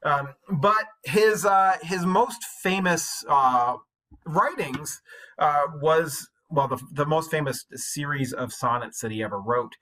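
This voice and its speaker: Bright-voiced man teaching informally. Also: a little high, slow pace. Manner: conversational, explanatory, colloquial. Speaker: high school English teacher. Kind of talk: informal recorded lecture.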